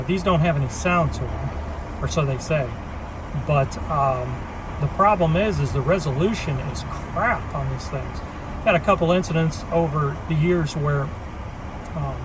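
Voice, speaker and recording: A man's voice: on the high side, background noise. A man who drives trucks gives a casual, rambling walkthrough of his gear in a plain-spoken way. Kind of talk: casual product walkthrough with anecdotes.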